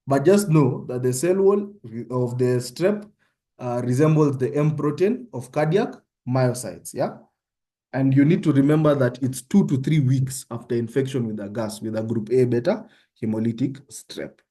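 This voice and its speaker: Young Kenyan male tutor, Kenyan accent, mellow voice, casual teaching that keeps checking in with listeners. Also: mid-range voice, moderate pace. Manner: conversational, often checks in with "yeah?". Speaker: medical student tutor. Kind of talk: informal online tutorial.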